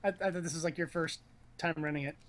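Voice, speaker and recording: A man's voice: a little high, steady tone, fast, fairly bright tone. Casual jokey guy chatting over a game. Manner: teasing, jokey, slangy. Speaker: commentator on a video game stream. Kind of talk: casual game-watching chat.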